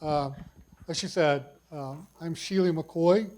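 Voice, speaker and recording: A man's voice: a little high, slow pace, darkish tone. An older man with a big voice, telling his own cancer story in a folksy, self-deprecating way to a conference audience. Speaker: cancer survivor speaker. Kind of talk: personal story talk. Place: conference hall.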